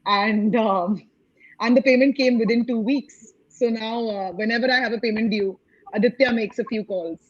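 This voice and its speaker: A woman hosts a panel with a fairly high voice. She talks chattily and restarts her sentences often. The sound is somewhat dull and lo-fi. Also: normal speed, slightly rough. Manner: chatty, with many fillers and restarts. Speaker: panel host. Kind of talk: online panel discussion.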